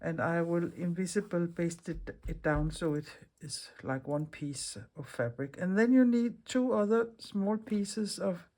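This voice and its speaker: A woman's voice: on the low side, measured pace, few pauses, warm tone. A middle-aged woman from Denmark with a Danish accent, hosting a chatty craft tutorial in English as a second language and often restarting her words. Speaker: craft video host. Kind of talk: casual craft tutorial talk.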